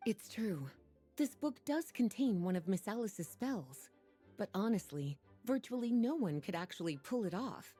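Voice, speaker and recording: Game sorceress-librarian, soft and mellow, lively swoops of intonation, poised, lazily teasing, knowing. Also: female voice, mid-range voice, moderate pace, husky, faint music behind. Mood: amused, confiding. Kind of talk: video game character dialogue.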